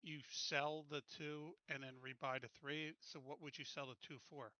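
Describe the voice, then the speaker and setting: A man's voice: fairly high pitch, level tone, normal speed, bright, forceful tone, no room sound. A man playing a tabletop game, chatting casually with direct, probing questions over a muffled voice-chat connection.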